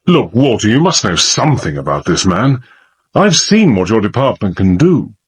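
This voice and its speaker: A sceptical British gentleman officer with a husky voice and lively intonation. He is blunt, impatient and incredulous, in the style of a period audio drama. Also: lowish voice, normal speed, full character voice. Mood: exasperated. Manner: brusque, incredulous.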